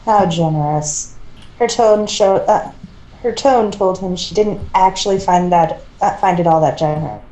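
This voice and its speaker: A woman's voice: on the low side, normal speed, warm tone. A woman reading fan fiction aloud, with scripted narration and bits of character dialogue. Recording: echoey room, amateur recording.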